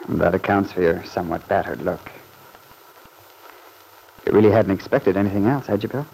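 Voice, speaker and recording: A sociable man about town in a vintage radio serial. His voice is low, and his wordy lines have a wry, urbane polish. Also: moderate pace, vocal fry, a little mellow, slight background noise, rough recording. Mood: wry, sympathetic. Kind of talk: old-time radio drama acting.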